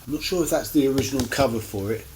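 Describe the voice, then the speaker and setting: A man giving a casual, unscripted show-and-tell to camera as a record collector, in a halting, rambling way with many pauses. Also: mid-range voice, background noise. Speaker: record collector presenting finds on his channel.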